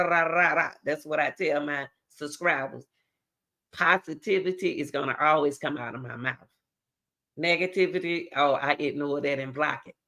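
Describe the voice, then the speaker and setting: A woman's voice: low-pitched, bright, forceful tone. A middle-aged woman, hosting her own channel and giving a preachy, repetitive motivational talk straight to her viewers. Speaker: channel host.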